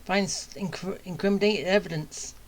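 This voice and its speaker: A woman giving offhand gameplay commentary as a streamer, in short, halting remarks. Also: on the low side, steady tone, slight background noise, low-quality recording. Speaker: game streamer.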